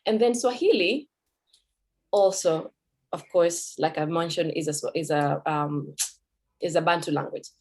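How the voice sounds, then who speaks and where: Kenyan woman, low voice, giving a talk. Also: unhurried, long pauses, darkish tone. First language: Kikuyu. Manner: conversational, with fillers and self-corrections. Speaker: Swahili teacher. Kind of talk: talk at a cultural event.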